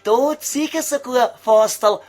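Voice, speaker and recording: A man's voice: very high voice, unhurried, darkish tone. A man voicing a sly, crude devil who coaxes and mocks in Viennese dialect, in an audio comedy sketch. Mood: placating, sly. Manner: coaxing, vulgar, mocking.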